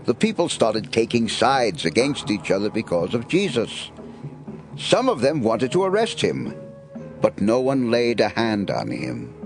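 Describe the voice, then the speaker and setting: A man's voice: on the low side, huge pitch swings, unhurried, over music. A man narrating an audio Bible drama in a scripted storytelling style.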